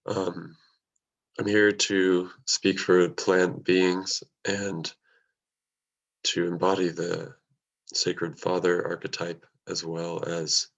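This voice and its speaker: A man introducing himself as a ceremony presenter, speaking softly with pauses over a video call. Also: deep voice, monotone, creaky, dull audio. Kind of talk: halting self-introduction.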